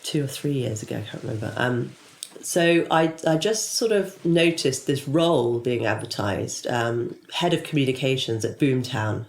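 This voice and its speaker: A woman's voice: very deep voice, expressive, normal speed. A woman in her forties, a PR consultant and interview guest, chatting casually and rambling with many restarts. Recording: echoey room.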